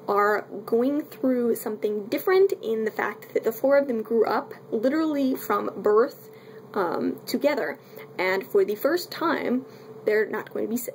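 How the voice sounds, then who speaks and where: A woman's voice: mid-range voice, vocal fry, darkish tone, a little hiss or hum, rough recording. A woman giving a casual, unscripted book review in a candid, irreverent way with wry asides and mild swearing.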